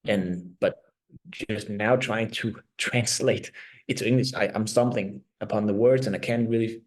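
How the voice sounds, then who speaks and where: Danish-accented man speaking halting English. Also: on the low side, fairly even intonation, poor audio. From Denmark. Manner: halting, searching for words, many restarts. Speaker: band vocalist and lyricist. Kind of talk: reflective interview answers.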